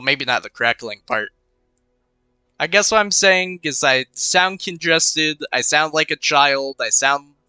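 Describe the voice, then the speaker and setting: A young man in a casual gaming commentary, rambling and musing half to himself as he plays. His voice is very bright and clean, though a touch congested. The cheap desk mic sounds dry and close. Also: fairly high pitch, smooth, dry recording. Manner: rambling, muttering to himself while thinking. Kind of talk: casual let's-play commentary. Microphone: cheap desk microphone.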